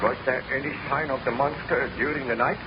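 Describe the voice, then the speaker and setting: An actor playing an exhausted, obsessed young baron scientist with a grave, theatrical, formal delivery in 1930s radio drama style. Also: male voice, mid-range voice, steady tone, brisk, close to an everyday voice. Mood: brooding, confessional. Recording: noisy, muffled vintage 1930s radio recording.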